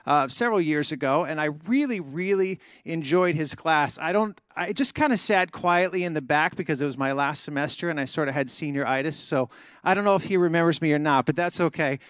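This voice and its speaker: A man's voice: on the high side, a bit bright, smooth, dry recording. A man as a church pastor introducing a guest speaker from the stage, enthusiastic and informal. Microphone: handheld microphone.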